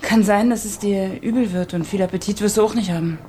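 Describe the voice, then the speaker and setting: An actress playing a caring, tired working woman in a radio play, gentle and earnest. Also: medium pitch, brisk, a little hiss or hum, lightly acted. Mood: soothing. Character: caring working woman, anxious, tired foster-mother figure. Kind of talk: radio play dialogue and narration.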